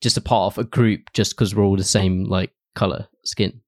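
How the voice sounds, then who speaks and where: A man's voice: lowish voice, fairly even intonation, normal speed, no room sound, studio quality. A young man hosting a podcast in a casual, informal, somewhat hesitant way, often restarting his thoughts. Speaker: podcast host. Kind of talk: casual chat.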